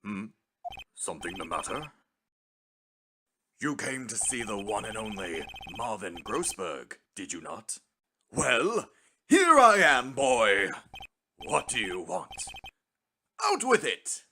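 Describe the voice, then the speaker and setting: A man voicing a pompous, blustering older lawyer in a video game fan dub, theatrical and evasive, with hums and throat-clearing. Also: lowish voice, huge pitch swings, takes it slowly, pauses often, noisy background, exaggerated voice. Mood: pompous, booming.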